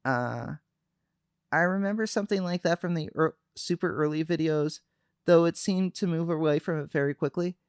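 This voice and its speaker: A young man chatting on a livestream, calm and soft-spoken, hesitating often with fillers. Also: a little high, normal speed, smooth, no room sound, clean studio sound. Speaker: VTuber streamer. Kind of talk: casual livestream chat.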